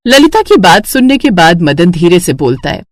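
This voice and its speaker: A woman narrating a scripted moral story as a storyteller, in an expressive style. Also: fairly low pitch, normal speed, no echo, clean studio sound.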